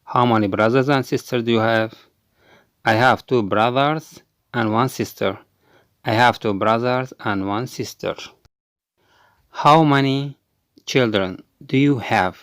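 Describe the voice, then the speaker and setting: A man teaching a language lesson, repeating each example phrase twice slowly and explaining quickly in between. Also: mid-range voice, a bit bright, dry recording. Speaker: English teacher.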